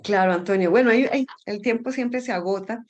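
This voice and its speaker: A woman's voice: mid-range voice, fast talker, lo-fi sound. A middle-aged woman talking casually as an interview guest, often searching for words and repeating them. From Colombia. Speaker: interview guest, energy company founder.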